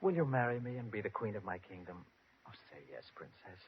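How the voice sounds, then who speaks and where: A man's voice: fairly low pitch, animated, brisk, natural voice. Guy doing a lovestruck fairy tale prince, swoopy delivery, courtly and earnest, muffled old radio. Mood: ardent. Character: ardent, courtly young handsome prince. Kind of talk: old-time radio drama acting. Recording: dull old radio recording with hiss.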